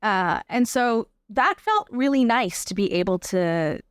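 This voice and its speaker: A woman speaking as an interview guest, giving a personal account in a reflective, measured and explanatory conversational way. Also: medium pitch, expressive, smooth, no echo, clean studio sound. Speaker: podcast guest, actor and advocate.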